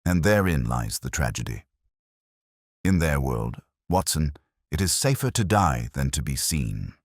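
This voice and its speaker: A man voicing a grave, incisive detective in an audiobook, speaking precisely and deliberately. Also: rumbling low, very animated, no room sound, clean studio sound, full character voice.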